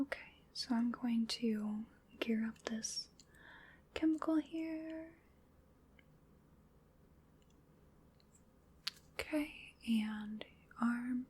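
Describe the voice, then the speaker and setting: A woman voicing a playful, chatty female vampire in a whispered ASMR roleplay, breathy, soothing and wryly polite as she dotes on her prey. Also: a little high, mellow, heavily acted. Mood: softly businesslike.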